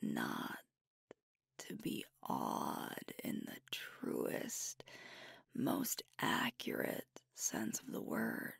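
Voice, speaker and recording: A woman playing a sweet, devoted werewolf girlfriend in an ASMR roleplay, speaking in a hushed, breathy, tender voice close to the microphone. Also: extremely low pitch, huge pitch swings, no echo, heavily acted. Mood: tender, wistful. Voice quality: breathy, whispery. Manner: slow, tender, hushed delivery with pauses. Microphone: close microphone, dry.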